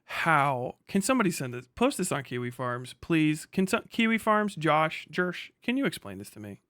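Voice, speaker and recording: A man's voice: a little high, very animated, normal speed, a bit bright, no room sound, studio quality. A man livestreaming casual reaction commentary, swearing freely, mocking and often laughing as he talks. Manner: profane, mocking; laughs often while talking.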